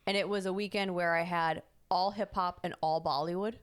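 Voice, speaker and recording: A woman's voice: on the low side, steady tone, a little fast, clean tone. A woman co-hosting a podcast who tells a long rambling story and corrects herself as she goes. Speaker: podcast co-host. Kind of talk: casual chat.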